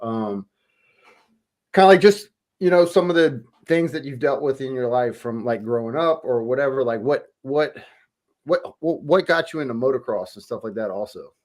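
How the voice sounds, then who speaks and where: A man's voice: mid-range voice, moderate pace, darkish tone. A man hosting a casual interview, asking loose questions with repeated restarts and slang.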